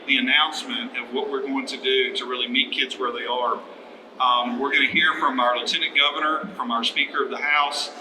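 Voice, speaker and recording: A middle-aged American man hosting a public announcement, speaking in a halting, enthusiastic way. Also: medium pitch. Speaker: event host, organization leader. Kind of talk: live introduction speech at a public announcement. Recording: echoey room with clear background noise.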